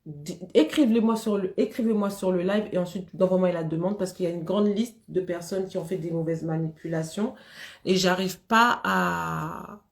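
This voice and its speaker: A woman's voice: lowish voice, moderate pace, gravelly, echoey room. A woman hosting a live stream, chatting casually with viewers, laughing often and greeting them by name.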